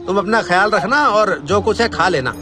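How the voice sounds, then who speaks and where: A callous grown son from a cartoon moral story, acted by a man. The male voice is pitched high and comes out irritable and dismissive. Music plays clearly behind it. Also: animated, moderate pace, bright, forceful tone. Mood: irritated. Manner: dismissive, scolding.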